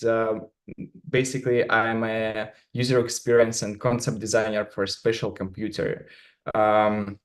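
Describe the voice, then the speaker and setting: A man from Russia with a Russian accent, a native Russian speaker giving a conference talk in English over a video call, halting and filling his speech with many "uh"s and "like"s. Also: on the low side. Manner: halting, many fillers and restarts. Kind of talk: remote conference presentation.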